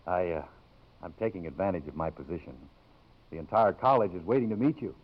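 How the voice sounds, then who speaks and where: An actor playing a gentle middle-aged college president in a 1950s radio drama, courteous and measured, with some hesitancy. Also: male voice, deep voice, animated. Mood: warm, awkward. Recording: muffled vintage 1950s radio recording.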